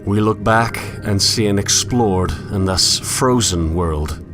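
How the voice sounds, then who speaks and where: An actor playing a melancholy, introspective wanderer who narrates a game in a measured, reflective, literary manner. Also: male voice, fairly low pitch, over music. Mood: philosophical. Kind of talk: scripted poetic game narration.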